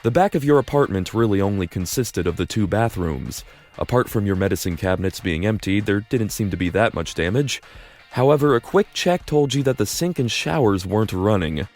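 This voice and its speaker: A man's voice: lowish voice, animated, brisk, a little mellow, soft background music. A man narrating a fan audio drama in the second person, casual and wry.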